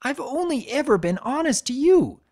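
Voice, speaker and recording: A man voicing a stammering, smooth-talking pegasus stallion in a story reading. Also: very high voice, very animated, moderate pace, a little mellow, voice pushed up, full character voice. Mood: pleading. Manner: pleading, stammering.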